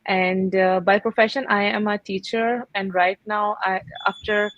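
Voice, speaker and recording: A woman's voice: mid-range voice, steady tone, fairly bright tone, no echo. Lady guest on a video call, earnest, lots of uh and like, dull dry sound. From Pakistan. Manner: earnest, halting, many fillers. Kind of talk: casual video call interview.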